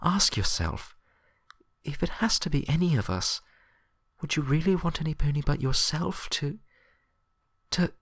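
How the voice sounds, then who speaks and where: A stern unicorn librarian, put on by a man with a raised pitch and a sharp edge. She is pragmatic and grimly insistent, reasoning firmly in a dry storybook recording. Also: big pitch swings, measured pace, long pauses, breathy edge, no room sound, heavily acted. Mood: grave, persuasive.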